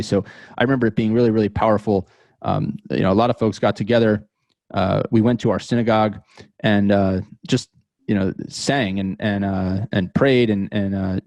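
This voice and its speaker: Podcast guest: American male, thirties, lowish voice, close dry sound, thoughtful halting storyteller. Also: darkish tone, dry recording. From New York metropolitan area, United States. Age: 30s. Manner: halting, thoughtful, repeats words as he goes. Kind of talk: reflective interview storytelling.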